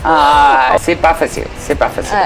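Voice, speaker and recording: A woman's voice: low-pitched, sing-song, moderate pace, creaky, music in the background, low-quality recording. A middle-aged woman being interviewed, talking conversationally with many hesitations and restarts. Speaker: interview guest.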